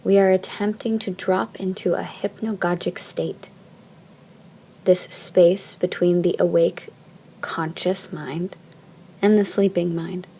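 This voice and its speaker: Soft, mellow woman guiding deep relaxation. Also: fairly even intonation, creaky, a little hiss or hum, rough recording. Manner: soft, soothing, measured phrasing with long pauses. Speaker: yoga nidra teacher. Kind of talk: guided meditation, slow instruction.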